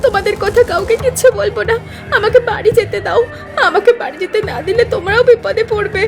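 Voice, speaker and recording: Greedy shrill cartoon woman, a woman acting, very high and rapid, loud music behind. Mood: pleading.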